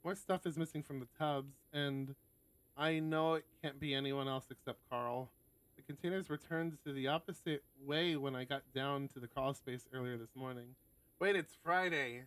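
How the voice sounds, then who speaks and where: A man's voice: medium pitch, steady tone, moderate pace, a bit bright, smooth, dry recording, rough recording. A man reading aloud and chatting casually on a game stream, sometimes swearing in asides. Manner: casual, sweary asides between narrated passages. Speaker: host of a visual novel stream.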